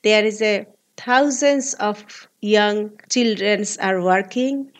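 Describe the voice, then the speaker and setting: Slow-speaking Bangladeshi woman in her forties. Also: medium pitch. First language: Bengali. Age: 40s. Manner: earnest, halting non-native English. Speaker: guest, labor activist. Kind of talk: interview.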